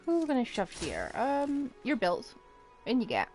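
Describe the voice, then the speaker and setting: A woman's voice: high-pitched, wildly swooping pitch, normal speed, a little mellow. A woman streamer giving casual live game commentary, musing aloud and asking herself questions, with faint game music behind her.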